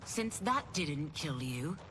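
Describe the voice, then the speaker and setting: A woman's voice: deep voice, very animated, slow, very noisy, exaggerated voice. A woman voicing a stern, resolute swordswoman with a terse, determined manner in a video game. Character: stern, resolute female demon-hunting swordswoman. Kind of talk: video game cutscene acting. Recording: loud music behind the voice.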